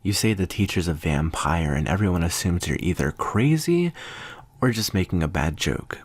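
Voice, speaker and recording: A man playing a smug, amused vampire teacher in an ASMR roleplay, condescending and teasing, with unhurried, deliberate pauses. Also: low-pitched, animated, breathy edge, no echo. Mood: frank, reassuring.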